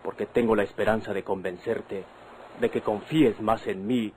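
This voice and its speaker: An actor with a Mexican accent playing an earnest young husband, a bandit's son, persuading his wife in measured, formal radio-drama diction. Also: lowish voice, steady tone, a little mellow, close to an everyday voice. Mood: pleading, earnest. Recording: noisy vintage radio drama recording.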